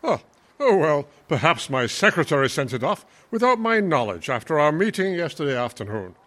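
A man playing a brisk, formal school board official who gets flustered, in an old-time radio comedy. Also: a little high, very animated, fairly bright tone.

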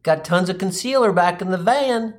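Man putting on a folksy, high-voiced minivan mom. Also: normal speed, close to an everyday voice. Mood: kindly, reassuring. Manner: folksy, soothing, endearments. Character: kindly, folksy minivan mom, doting and unflappable.

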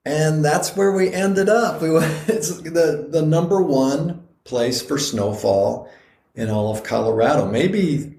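A man's voice: on the high side, slow, a little mellow, echoey room. A middle-aged man co-hosting a podcast, chatting in a rambling way with frequent restarts. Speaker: podcast co-host. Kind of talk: casual chat.

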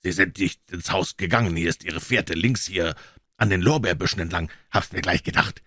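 A man voicing a cool, commanding detective who speaks calmly, briskly and with authority. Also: low voice, no room sound, studio quality.

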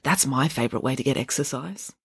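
A woman's voice: rumbling low, fairly quick, creaky, breathy edge, no room sound, full character voice. A woman voicing a laid-back schoolgirl who makes dry remarks, in an audiobook. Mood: dry, idle.

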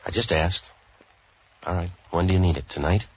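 An American actor playing a cynical, street-wise boat-for-hire man in a hard-boiled, deadpan 1940s radio noir style. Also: low-pitched, moderate pace, husky. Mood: wry, wary. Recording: dull vintage radio recording, faint music.

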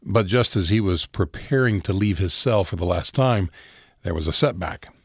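A man narrating a true story in a steady, serious scripted style. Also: deep voice, normal speed, breathy edge, dry recording, clean studio sound.

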